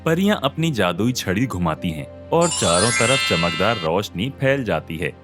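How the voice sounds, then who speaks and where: A man narrating a scripted animated moral story. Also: fairly low pitch, a little slow. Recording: music behind the voice.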